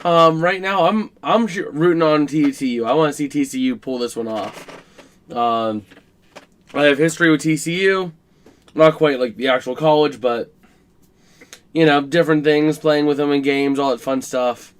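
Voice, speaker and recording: A man hosting a casual live stream about a college football game, his voice scratchy and rough, chatting to viewers with plenty of fillers. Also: fairly high pitch, normal speed. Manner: chatty, filler-heavy, talks to chat while working the stream.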